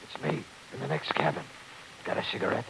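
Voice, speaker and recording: A furtive, hard-bitten fellow passenger with a heavily creaky voice. He talks in a hushed, conspiratorial, weary convict's way. It is 1940s radio comedy, heard through heavy scratchy noise. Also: on the high side, fairly quick. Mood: furtive, resigned.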